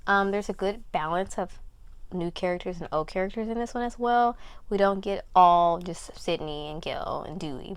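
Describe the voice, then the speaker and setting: A woman chatting casually as a film reviewer, informal and hedging, often repeating herself for emphasis. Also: on the low side.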